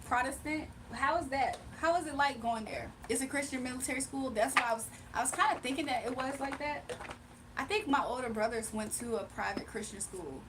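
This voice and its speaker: A woman's voice: sits high, fairly quick, background noise. A young woman streaming live, chatting casually with her viewers, laughing often and reading out chat messages.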